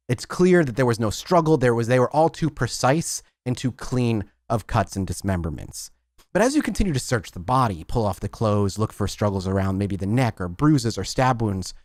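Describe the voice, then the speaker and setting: Man, game master, animated intonation, medium pitch, emphatic descriptive narration, dry recording. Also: no echo. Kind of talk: narrating a tabletop roleplaying game.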